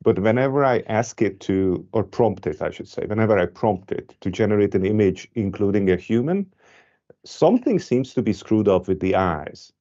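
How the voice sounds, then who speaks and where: Mellow low male moderator, lo-fi. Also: animated, moderate pace. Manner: curious, asks open questions. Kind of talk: round table discussion.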